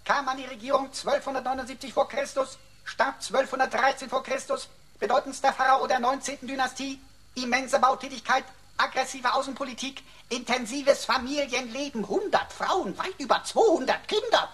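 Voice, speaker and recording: A woman's voice: sits high, moderate pace, fairly bright tone. A woman playing a cheeky, long-winded know-it-all talking pocket computer in a German radio play, mocking and mock-pompous. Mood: lecturing.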